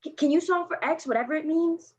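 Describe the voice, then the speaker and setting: Woman acting mouthy defensive 14 yr old skater girl, high scratchy voice, slangy, dull lo-fi audio. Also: fairly quick, close to an everyday voice. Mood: agitated, pleading. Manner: blunt, slangy, sarcastic. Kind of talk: staged play reading. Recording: dull lo-fi recording.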